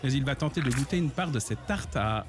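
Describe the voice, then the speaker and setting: A man narrating a cartoon in a scripted, gently ironic storytelling manner. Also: medium pitch, lively intonation, brisk. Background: music.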